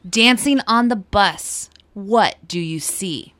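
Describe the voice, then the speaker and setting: A woman reading English listening-test dialogues with a clear, exaggerated teaching delivery. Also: mid-range voice, slow, a bit bright. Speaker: dialogue reader.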